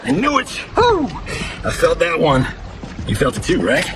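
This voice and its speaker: An actor playing a cocky, wisecracking action movie star in a cinematic video game, flippant and showy. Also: male voice, a little high, wildly swooping pitch, normal speed, background noise. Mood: excited, pleased.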